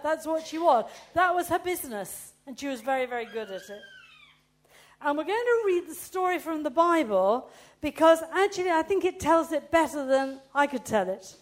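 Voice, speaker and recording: A woman preaching a chatty sermon, loud, reading a Bible story aloud. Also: high voice.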